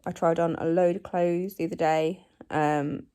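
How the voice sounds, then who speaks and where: A woman in her forties, hoarse from a cold, chatting casually in a home vlog recorded on her phone, rambling with plenty of ums and likes. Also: on the low side, level tone, moderate pace, warm tone. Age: 40s. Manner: rambling, self-deprecating, many ums and likes. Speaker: vlogger. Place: living room at home. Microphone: phone camera.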